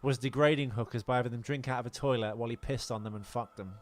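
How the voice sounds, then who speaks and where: A man's voice: mid-range voice, steady tone, quick pace. Man, mid-range voice, podcast co-host, casual movie talk, cheeky and crude banter. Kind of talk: casual film podcast chat.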